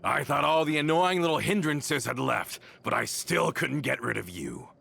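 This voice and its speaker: A man's voice: a little high, animated, normal speed, creaky, bright, forceful tone, slight background noise, heavily acted. An actor voicing a bitter, vengeful villain in a video game, menacing and theatrical as he makes accusations. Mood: scornful. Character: bitter vengeful male villain, former gang member.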